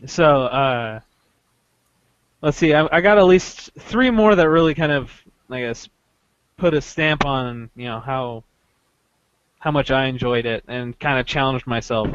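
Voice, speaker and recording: A man hosting a podcast, casually recounting an experience in a halting way, often interrupting himself as he goes. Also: mid-range voice, a bit bright, smooth, muffled recording. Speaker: podcast host telling a story.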